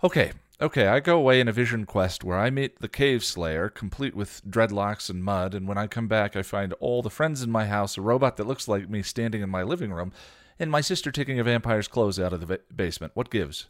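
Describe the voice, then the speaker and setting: A man's voice: lowish voice, brisk, dry recording, clean studio sound, pitched above the performer's own voice, lightly acted. A man voicing a blunt, indignant teenage girl slayer in a read-aloud story. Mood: exasperated. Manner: indignant, demanding.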